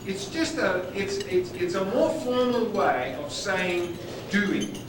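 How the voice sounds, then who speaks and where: A man's voice: high voice, lively intonation, slow pace, background noise. A man with a South African accent giving a conference presentation, stressing key words emphatically, recorded from afar in a large hall. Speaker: conference speaker. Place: conference hall with dining noise. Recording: echoey hall from far off on old videotape.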